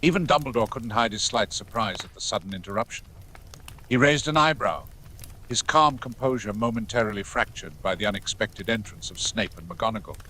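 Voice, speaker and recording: A man narrates a scripted story in a fairly low voice. Noticeable ambience sits behind his reading. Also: a little fast, sharp tone, poor audio. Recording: clear background ambience noise.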